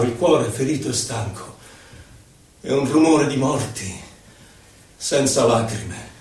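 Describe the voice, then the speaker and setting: A man's voice: mid-range voice, lightly acted. A man playing an anguished, impassioned poet, reciting verse in a solemn, declamatory, theatrical way. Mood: grieving. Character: anguished male poet on an inward journey, impassioned. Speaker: stage actor. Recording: very echoey hall, distant microphone.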